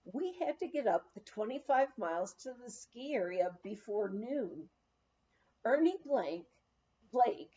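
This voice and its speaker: Slow, lively woman reading a memoir aloud. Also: fairly high pitch, lo-fi sound. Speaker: audiobook reader of a memoir. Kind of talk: read-aloud first-person memoir narration.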